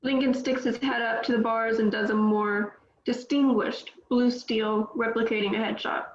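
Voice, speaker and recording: A woman's voice: fairly high pitch, steady tone, normal speed, a little hiss or hum. A woman reading scripted stage directions as narrator at a table read, in roomy, slightly dull audio.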